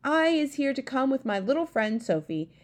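A woman's voice: high voice, sing-song, moderate pace, pitched above the performer's own voice. A woman voicing a huge, kindly male giant who speaks with garbled grammar and grand, humble politeness. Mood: earnest.

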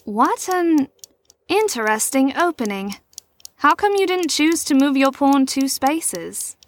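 A woman playing a poised, probing middle-aged mentor in an audio drama, speaking in a formal, theatrical, measured way. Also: sits high, big pitch swings, heavily acted. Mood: composed, teasing. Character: poised, probing female mentor and chess player. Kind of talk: scripted audio drama acting.

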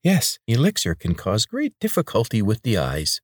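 A man voicing an oily, pudgy male healer with a nasal, squeaky, wheedling voice. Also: wildly swooping pitch, normal speed, slightly rough, dry recording, studio quality, heavily acted.

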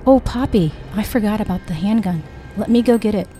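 A woman's voice: medium pitch, fairly quick, dark tone, soft background music, natural voice. A woman voicing a confident, plain-spoken young granddaughter in an audiobook, assured and matter-of-fact.

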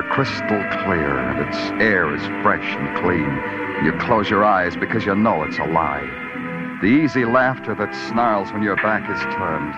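An actor playing a world-weary, poetic New York police detective in a 1940s radio drama, clipped and brooding. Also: male voice, low-pitched. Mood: bitter, poetic. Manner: brooding, clipped, deliberate delivery. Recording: dull vintage radio recording, organ-style music behind.

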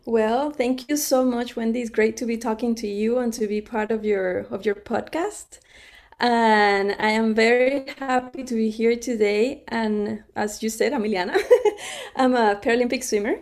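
A woman's voice: fairly high pitch, fairly even intonation, moderate pace, a little mellow. A middle-aged woman from Cuba, a podcast guest telling her story in a conversational interview, laughing often and restarting her sentences.

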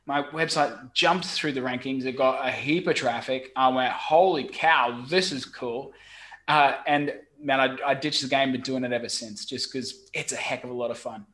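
A middle-aged Australian man, a guest on a podcast call, talking frankly and with lively storytelling, salting it with mild swearing. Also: mid-range voice, normal speed. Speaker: guest, website marketing expert. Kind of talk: casual podcast chat. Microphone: remote call.

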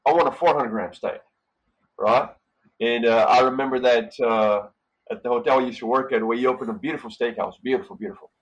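A middle-aged man from Brazil, a hotel manager and podcast guest, telling relaxed stories with lots of fillers and tag questions. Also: medium pitch, fairly quick, husky, muffled recording. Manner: relaxed storytelling with frequent fillers and "right?". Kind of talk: casual interview conversation.

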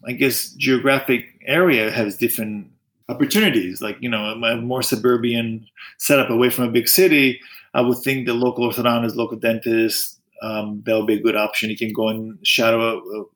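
A middle-aged man who grew up in Greece answers questions about his work as an orthodontist. He talks in a loose, halting way, starts sentences again and fills gaps with "like" and "um". His pitch sits in the usual middle range for a man. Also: steady tone, fairly bright tone. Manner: halting, many restarts and fillers. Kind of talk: interview answers.

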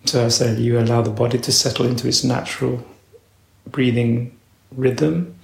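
A man leading a guided meditation as a teacher, speaking in a slow, measured way with long pauses. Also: medium pitch, steady tone, gravelly, roomy sound, rough recording.

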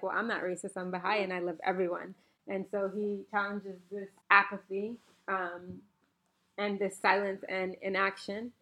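A woman's voice: medium pitch, highs cut off. Earnest woman podcasting from home. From Bahamas. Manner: earnest, reflective, gently conversational. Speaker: podcast host. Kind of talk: casual solo podcast talk with a read-aloud quote. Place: home, recorded around napping children.

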